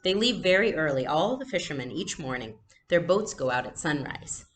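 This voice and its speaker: A woman's voice: deep voice, lively intonation, moderate pace, a little hiss or hum. A woman voicing an eager, enthusiastic young girl in a storybook.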